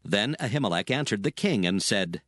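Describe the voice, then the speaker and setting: A man narrating scripture in a solemn, measured, dramatic storytelling style. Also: lowish voice, animated, fairly bright tone, no echo. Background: music bed.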